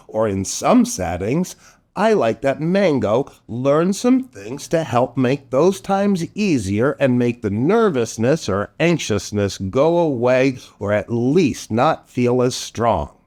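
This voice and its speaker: Guy hosting a kids show, goofy and playful, swoopy voice, takes his time. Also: mid-range voice. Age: middle-aged. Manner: exaggerated, animated, silly. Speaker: children's storytime host and entertainer.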